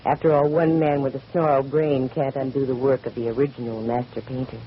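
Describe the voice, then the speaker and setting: An actress playing a sharp-witted, wisecracking female private investigator in a 1940s radio detective drama, narrating with breezy wryness. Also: rumbling low, level tone, brisk, dull audio, very noisy. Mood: wry, wistful. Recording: vintage radio recording with faint music.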